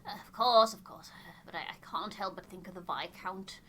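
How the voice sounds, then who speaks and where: A woman's voice: mid-range voice, moderate pace, sharp tone, slight background noise, natural voice. A woman voicing an anxious expedition wife in a game, formal and worried in manner.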